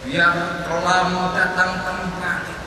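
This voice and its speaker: A man reciting poetry dramatically, with long pauses, moving between hushed and loud delivery. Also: high voice, level tone. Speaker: poetry reader. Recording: echoey hall from far off, noisy ambience.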